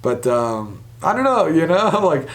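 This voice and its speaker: Higher-voiced man talking sports. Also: sing-song, measured pace. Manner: conversational, speculative, hedging asides. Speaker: sports podcast host. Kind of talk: casual sports news commentary.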